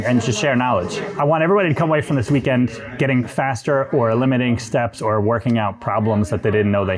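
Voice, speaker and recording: A man organizing a workshop, talking to the group in a relaxed way with lots of ums and uhs. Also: mid-range voice. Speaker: event organizer. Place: indoor workshop room. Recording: echoey room with crowd chatter.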